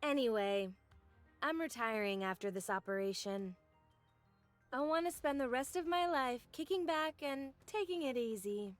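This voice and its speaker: A woman's voice: high-pitched, animated, moderate pace, soft background music. An actress playing a flippant, world-weary young woman soldier in a game cutscene, wry and nonchalant.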